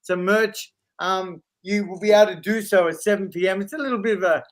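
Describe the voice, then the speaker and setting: Guy with a high voice hosting a live stream, chatty, lots of ums. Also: brisk. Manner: upbeat, warm introductions with fillers. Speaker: host.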